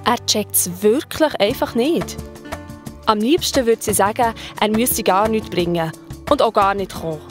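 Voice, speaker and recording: A woman's voice: mid-range voice, a little slow, over music. A woman with a Swiss German accent narrating a scripted children's story in a colloquial storytelling manner.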